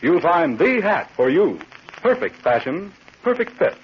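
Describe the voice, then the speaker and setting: A man's voice: fairly high pitch, sing-song, measured pace, slightly rough. A man announcing in 1940s radio style, with a polished, salesmanlike delivery. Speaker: announcer reading the sponsor's advertisement. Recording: muffled 1940s radio recording.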